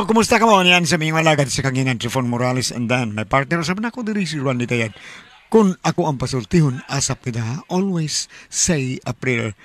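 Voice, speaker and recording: A man hosts a radio drama programme. He speaks at a middle pitch in an informal, chatty presenter's style. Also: a bit bright. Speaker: radio drama host and letter reader.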